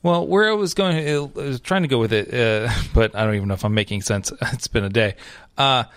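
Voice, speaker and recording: A man's voice: medium pitch, a little fast, fairly bright tone, no room sound. A man co-hosting an esports podcast in casual chat, rambling with fillers and laughter. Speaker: podcast co-host.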